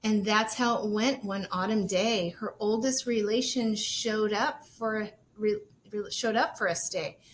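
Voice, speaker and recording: A woman teacher reading a children's storybook aloud expressively, in measured, sing-song rhyme. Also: mid-range voice, steady tone, a little hiss or hum.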